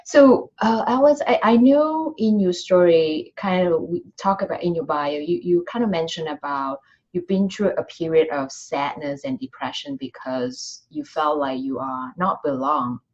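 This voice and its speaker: A woman's voice: deep voice, a little slow, a little mellow. A woman hosting an interview, asking questions hesitantly with many fillers and restarts. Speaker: host, interviewer. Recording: dull, muffled lo-fi sound.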